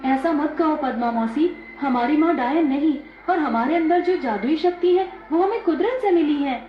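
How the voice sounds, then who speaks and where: A woman's voice: high-pitched, lively intonation, moderate pace. A woman playing an earnest, helpful young magical village girl in a children's story, speaking eagerly. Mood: defensive. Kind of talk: dramatized children's story dialogue. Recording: echoey, dull, music behind.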